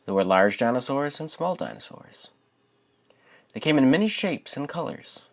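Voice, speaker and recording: A man's voice: medium pitch, very animated, normal speed, vocal fry. A young man who hosts a channel and reads his own writing aloud for viewers. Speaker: channel host and children's book author. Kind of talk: casual spoken introduction to viewers.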